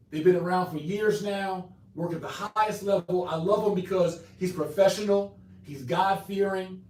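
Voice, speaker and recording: A man hosting an online session, talking in an energetic, slangy way with frequent "right?" tags. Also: sits high, roomy sound, distant mic. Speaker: host. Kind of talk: casual webinar talk.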